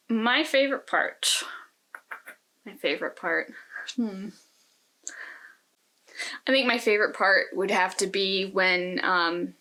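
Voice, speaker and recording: A woman with a medium-pitched, bright and forward voice talks as a podcast co-host. Her delivery is conversational and casual, like an informal book chat.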